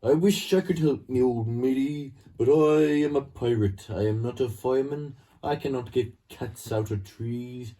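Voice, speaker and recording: A man's voice: mid-range voice, unhurried, hardly any pauses, warm tone, distant mic, lightly acted. A man playing a carefree, stubborn pirate who boasts in sing-song rhymes, in improvised comedy. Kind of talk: improvised comedy role-play.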